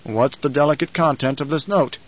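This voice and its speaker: A man's voice: a little high, a little fast, muffled recording, close to an everyday voice. A man voicing a proud, stern and weary nobleman in an audiobook, with an authoritative, clipped delivery. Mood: calm, probing.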